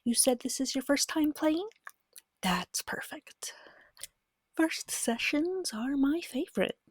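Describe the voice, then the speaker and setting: A woman speaking softly and breathily, often near a whisper, gently and patiently guiding a beginner as game master in an ASMR roleplay. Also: fairly high pitch, breathy edge, no echo. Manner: gentle, patient, reassuring, unhurried. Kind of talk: soft-spoken ASMR roleplay tutorial.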